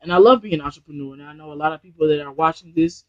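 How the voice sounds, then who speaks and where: A man's voice: on the high side, rapid-fire, no room sound, highs cut off. A man from New Jersey giving rambling, motivational business advice on a casual live stream over a Bluetooth headset. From New Jersey, USA. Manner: rambling, repeats and restarts phrases, motivational. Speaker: online business trainer.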